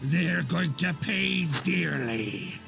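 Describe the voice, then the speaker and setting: A very gravelly, domineering villain boss in a cartoon comic dub. The scientist sounds suspicious, and his pitch swings widely over faint music. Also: animated, extremely slow, bright, forceful tone, full character voice. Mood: menacing.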